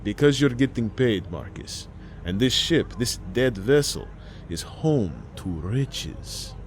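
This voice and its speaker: A man's voice: mid-range voice, very animated, takes it slowly, slight background noise. A man voicing a coaxing immigrant salvage boss in an audio story, dramatic and drawn out in his emphasis. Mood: persuasive. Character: wheedling, gold-toothed salvage boss, male immigrant sailor.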